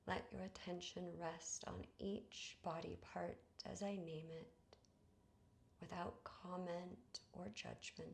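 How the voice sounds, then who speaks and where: A woman guiding a meditation in a soothing, hushed manner, with breathy phrase endings and long pauses. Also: lowish voice, little pitch movement, slight background noise. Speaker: yoga nidra guide. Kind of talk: guided meditation narration.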